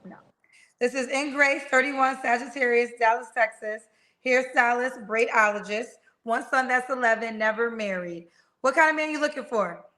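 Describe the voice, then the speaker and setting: A woman hosting a live dating stream, quickly asking questions and repeating each answer back. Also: on the high side, sharp tone, poor audio. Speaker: host of a dating show.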